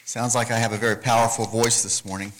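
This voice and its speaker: A man's voice: on the low side, flat delivery, fast talker, very noisy. A man, a pastor preaching a sermon with scripture reading and prayer, rising emphatically on key points.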